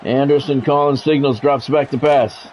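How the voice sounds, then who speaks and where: A man's voice: medium pitch, moderate pace, a faint crowd, slight background noise, rough recording. A middle-aged or older man giving live play-by-play of a football video game in short, clipped announcer phrases. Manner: announcer-like, short clipped phrases, excited calls on big plays.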